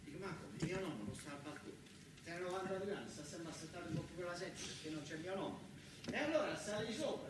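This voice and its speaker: A man's voice: high voice, background noise. A man with a southern Italian accent tells a story as a theatrical monologue, emphatic and repetitive, slipping into dialect. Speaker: stage storyteller. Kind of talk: theatrical monologue in dialect-tinged speech, with folk song. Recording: echoey, distant stage recording with music.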